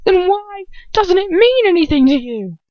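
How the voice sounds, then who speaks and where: Woman playing a shrill, furious young wizard son. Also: sing-song, moderate pace, dry recording, highs cut off. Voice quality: scratchy, strained. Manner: accusing, bursting out in shouts. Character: furious, mocking young wizard son confronting his father.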